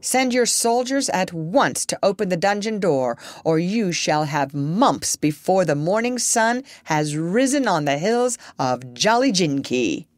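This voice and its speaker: A parrot passing as a grand male doctor in a children's storybook, performed by a woman. The delivery is pompous, boastful and deliberate, with threatening weight and a wide, expressive pitch range. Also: no room sound. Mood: pompous, menacing. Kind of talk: children's storybook character reading.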